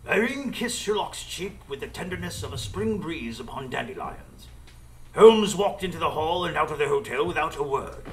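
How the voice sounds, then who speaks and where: A man playing an earnest, loyal gentleman companion who narrates a live radio drama in florid, expressive prose. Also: fairly high pitch, moderate pace, rough recording. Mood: dramatic. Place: live performance space before an audience.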